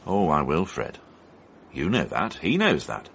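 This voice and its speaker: An actor playing a calm, methodical police inspector in a radio drama, questioning formally and pointedly. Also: male voice, very deep voice, measured pace, creaky, a little hiss or hum, full character voice. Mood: quietly confident.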